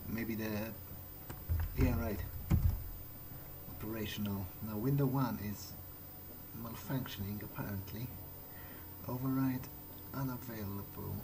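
A man thinking aloud in character as he plays a roleplaying game, musing haltingly to himself. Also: lowish voice, steady tone, background noise.

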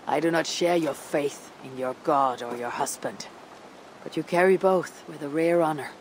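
A woman playing a stern, weary Viking warrior leader in a video game, grave and measured. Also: low-pitched, slight background noise, lightly acted, poor audio. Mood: grim. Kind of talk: dramatic game cutscene acting.

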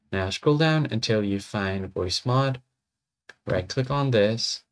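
A man with a fairly low voice presents a how-to tutorial. He talks casually and walks the listener through software instructions one step at a time. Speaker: tutorial host.

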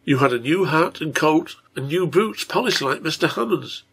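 A man voicing a gentle, pious young girl on her sickbed in a story, speaking quietly and earnestly to her father. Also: a little high, animated, normal speed, gravelly, breathy edge, voice pushed up, exaggerated voice. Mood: intense recounting. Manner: quiet, tender, intent delivery.

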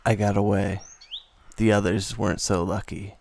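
A man playing a weary, bitter survivor who narrates a post-apocalyptic radio play in a grim, deliberate way. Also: lowish voice, little pitch movement, vocal fry, a little mellow, a little hiss or hum.

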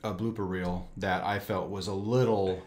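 A man in his thirties hosting a podcast in casual banter, joking with quick comebacks, on a desk mic in a small office studio. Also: deep voice, fairly even intonation, darkish tone. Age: 30s. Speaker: podcast host. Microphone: desk microphone.

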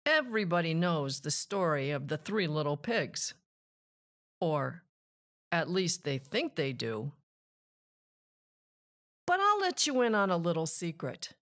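A woman voicing a chatty male wolf who slyly pleads innocence, with expressive, drawn-out emphasis in a children's storybook reading. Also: low-pitched, full character voice. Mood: confiding. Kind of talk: children's picture book storytelling.

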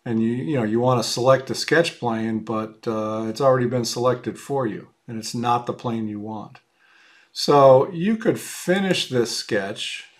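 A man teaching a software class, explaining step by step in a casual, thinking-aloud way with frequent ums and uhs while he demonstrates on screen. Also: mid-range voice. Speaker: woodworking software instructor. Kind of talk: screen-recorded software tutorial. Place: at a computer, voice-over on screen capture.

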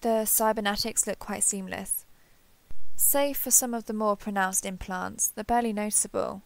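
Woman acting earnest starship captain, creaky voice. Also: mid-range voice, steady tone, normal speed, dry recording, lightly acted. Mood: fond, flustered. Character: warm, earnest female alien starship captain, sentimental. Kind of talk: roleplay audio drama, one-sided dialogue.

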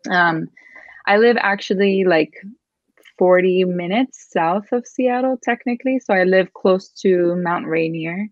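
A chatty woman in her thirties, talking through a video call that sounds a little dull and lo-fi. Her voice sits a bit low for a woman and is dry and close, with no room around it. She fills her talk with "like" and "um" and laughs easily. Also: a little slow, no echo. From Miami, Florida. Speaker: guest photographer. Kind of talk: casual video-call interview. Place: home room with a chair. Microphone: webcam on a video call.

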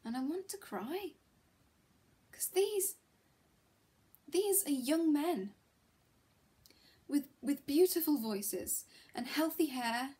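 A woman acting a dramatic monologue as a miserable, disillusioned schoolteacher, confiding and emotionally intense, with halting delivery. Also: fairly high pitch, a little mellow, close to an everyday voice. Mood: upset, indignant. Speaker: actor performing a monologue.

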